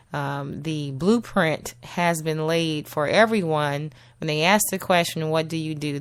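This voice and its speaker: Low gravelly woman with a husky voice. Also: no room sound. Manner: chatty, laughs often, many uh fillers. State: husky from a cold or allergies. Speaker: podcast host. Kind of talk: solo casual talk show.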